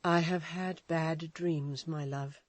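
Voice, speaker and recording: A shaken, guarded mother who speaks in slow, hushed, halting phrases, as a reader puts her on. Her words drag out slowly, with a quiet tenderness. The recording is dry and close. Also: mid-range voice, steady tone, no echo, close to an everyday voice. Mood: troubled tender. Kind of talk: audiobook reading.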